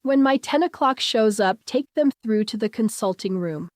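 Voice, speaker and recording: A woman's voice: on the high side, animated, normal speed, no echo, studio quality, natural voice. A woman voicing a harried, curt female scientist boss in an audiobook story. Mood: brisk.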